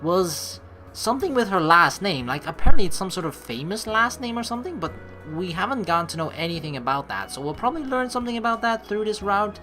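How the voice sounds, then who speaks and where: A woman's voice: mid-range voice, very animated, fast. A woman hosting a let's play with casual, rambling and informal commentary, over game music. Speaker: let's player, host. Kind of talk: casual gaming commentary, reading game text aloud. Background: game music faintly behind the voice.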